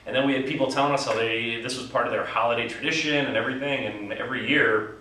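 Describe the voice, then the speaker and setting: A man's voice: medium pitch, steady tone, quick pace, bright, forceful tone. American guy being interviewed, jokey and fake braggy, super echoey, kinda far from the mic. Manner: joking, mock-boastful. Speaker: actor and writer being interviewed. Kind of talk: casual interview chat. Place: indoor theatre set. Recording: echoey room, distant mic, faint noise.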